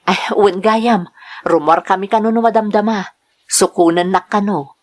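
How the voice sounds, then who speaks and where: A woman's voice: mid-range voice, steady tone, normal speed, natural voice. A woman playing a devoted, trusting mother in an Ilocano radio drama, earnest and reassuring. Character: devoted, trusting mother in love, working away from home.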